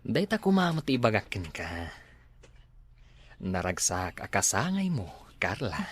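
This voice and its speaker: A deep, lively voice for a suave accomplice in a radio drama, conspiring with a scheming woman. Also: unhurried, slightly rough, heavily acted. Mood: smooth, conspiring. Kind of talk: Ilocano radio drama acting.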